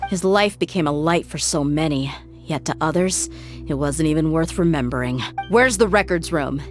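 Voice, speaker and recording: A woman's voice: deep voice, normal speed, lightly acted. A woman voicing a tough, blunt female desert mercenary in a video game cutscene, commanding with dry sarcasm. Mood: cold. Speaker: mercenary lead character. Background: game music.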